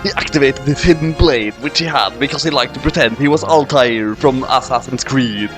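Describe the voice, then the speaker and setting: A man's voice: medium pitch, normal speed. A man doing a dramatic narration of an amateur action story. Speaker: narrator reading a fan fiction aloud. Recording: music playing behind the voice. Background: music.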